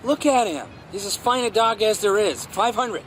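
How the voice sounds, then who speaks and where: An actor playing a sly gardener turned dog thief in a radio drama, coaxing and theatrical, repeating himself. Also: male voice, sits high, normal speed, a bit bright, a little hiss or hum, poor audio. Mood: haggling.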